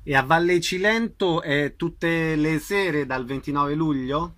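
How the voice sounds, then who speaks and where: A man with a fairly high-pitched voice hosts a radio programme. He announces events and puts questions to his guests in an interview format. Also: takes it slowly, a bit bright. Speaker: radio host.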